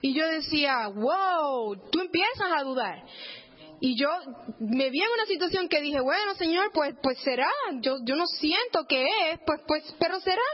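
A woman with a Puerto Rican accent speaks in a high voice as she gives a personal church testimony. Her delivery is earnest, leaning on repeated words for emphasis. The recording sounds dull and a little muffled. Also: animated, unhurried. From Puerto Rico. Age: 30s or older.

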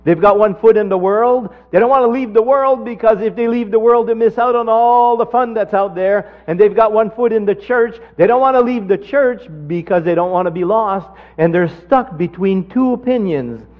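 A man preaching a sermon and telling a personal testimony, climbing sharply in pitch when he drives a point home. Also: moderate pace, few pauses. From Canada. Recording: dull lo-fi sermon recording.

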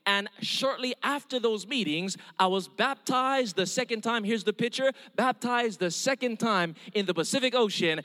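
A man with a Hawaiian accent preaches his testimony with the fairly high voice of an evangelist. He tells his story earnestly, often stopping for an amen. His voice rings with a long reverb through a church hall. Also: pushed and bright. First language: Hawaiian Pidgin. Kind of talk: testimony sermon.

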